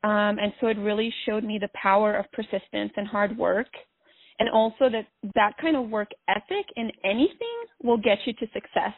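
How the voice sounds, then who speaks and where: A woman's voice: medium pitch, moderate pace, no room sound. Woman guest on a tinny phone line. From Bosnia. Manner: earnest, reflective, with frequent fillers. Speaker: podcast guest. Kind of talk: phone interview.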